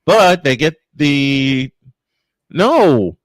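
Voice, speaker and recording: A man's voice: on the high side, very animated, drawn-out words, dry recording, studio quality. A man doing live baseball play-by-play, folksy and chatty as he banters with co-hosts. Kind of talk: live sports commentary with casual banter.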